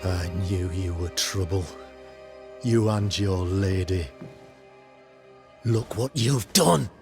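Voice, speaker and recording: A man's voice: sits low, slow, soft background music. An actor playing a self-righteous, zealous town governor and father in a period video game, speaking in theatrical, indignant oratory. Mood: bitter. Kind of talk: dramatic video game acting in period English.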